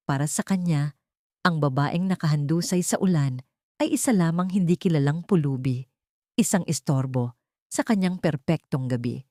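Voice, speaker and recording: A woman with a low voice reads a dramatic story aloud. Her delivery is solemn and melodramatic, captured close and dry with no room sound. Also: warm tone, dry recording. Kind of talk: dramatic scripted audio-story narration.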